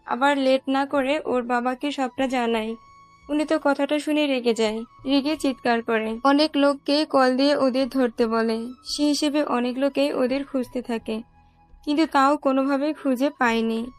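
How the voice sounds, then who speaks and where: A woman's voice: sits high, steady tone, moderate pace, faint music behind. A woman reading scripted narration for a romantic audio story.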